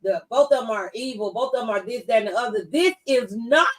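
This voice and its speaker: A woman's voice: on the high side, lively intonation, a little fast, distant mic. A woman hosting a talk show, speaking conversationally and earnestly and repeating phrases for emphasis.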